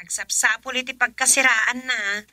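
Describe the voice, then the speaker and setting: An actress playing a brisk, persuasive young adult daughter talking to her mother in a radio comedy drama. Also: a little high, fairly even intonation, bright, forceful tone, no echo. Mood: asking.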